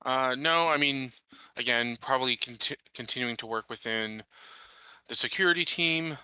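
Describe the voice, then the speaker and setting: A man chatting casually and haltingly as a player in a tabletop roleplaying game. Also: mid-range voice, bright, forceful tone, clean tone, no room sound, poor audio.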